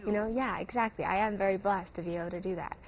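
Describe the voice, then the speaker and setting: A woman's voice: mid-range voice, steady tone, fast talker. A fifteen-year-old girl from Singapore giving eager, chatty answers as an interview guest. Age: 15, teenager. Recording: dull lo-fi sound with clear noise.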